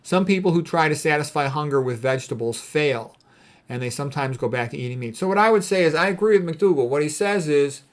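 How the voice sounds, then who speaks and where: A man speaking informally as a doctor giving health advice, blunt and opinionated, leaning on repeated phrases for emphasis. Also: on the high side. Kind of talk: informal commentary on read quotes.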